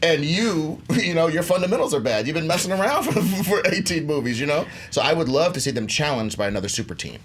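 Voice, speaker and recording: A man's voice: on the high side, big pitch swings, fast, hardly any pauses, fairly bright tone. Man arguing casually, some background noise. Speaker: debate show contestant. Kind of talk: informal persuasive argument about movies.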